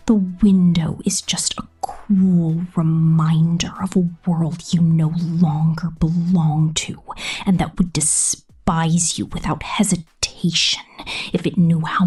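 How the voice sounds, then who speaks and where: A woman voicing a possessive succubus pop star in an ASMR roleplay, seductive and menacing, speaking slowly and intimately in breathy whispers. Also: low-pitched, darkish tone, heavily acted. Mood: dark, controlling. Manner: slow, deliberate, intimate.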